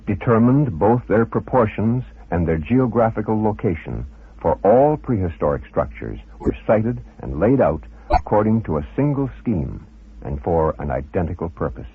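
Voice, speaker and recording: Male narrator, low pitch, very raspy, formal measured scripted delivery, thin phone-like radio recording.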